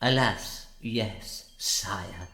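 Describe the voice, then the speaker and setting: An actor playing a pompous, obsequious royal advisor in a comedy audio drama, speaking in florid, ceremonious phrases. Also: male voice, on the low side, extremely slow, pushed and bright, echoey room, full character voice. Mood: pompous, fawning.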